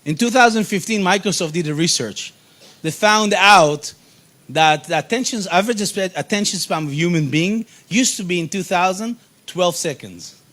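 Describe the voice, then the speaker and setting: Man, high-pitched, middle-aged, from Israel, animated, emphatic workshop talk. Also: moderate pace. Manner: animated, emphatic, repeats key phrases. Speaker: workshop speaker.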